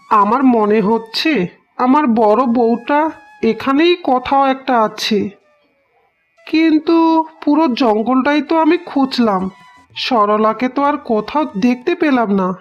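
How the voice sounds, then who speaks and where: Woman voicing an earnest, high-voiced young husband, muffled audio. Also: measured pace, soft background music, natural voice. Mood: remorseful, anguished. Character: earnest, troubled young husband and devoted son. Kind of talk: melodramatic cartoon voice acting. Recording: dull, band-limited audio.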